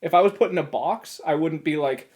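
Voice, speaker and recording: A man's voice: fairly high pitch, a little fast, darkish tone. A man co-hosting a podcast in casual, comedic chat, with a laid-back, jokey manner. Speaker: podcast co-host.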